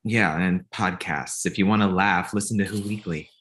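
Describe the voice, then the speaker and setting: Blunt, snobbish young man played by an actor, low-pitched, Ivy League type, comedy table read. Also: normal speed, a bit bright.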